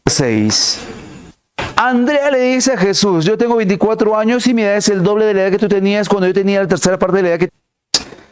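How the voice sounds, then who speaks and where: A male math teacher explaining a problem step by step, asking rhetorical questions and stressing key words with big swings in pitch. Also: brisk, clean tone. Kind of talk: recorded online class.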